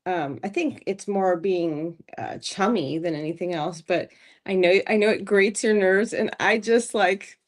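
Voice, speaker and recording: A woman's voice: mid-range voice, measured pace. A middle-aged woman co-hosting a podcast in casual chat, talking in a chatty, teasing way with lots of "like". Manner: chatty, teasing, says "like" a lot. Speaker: podcast co-host.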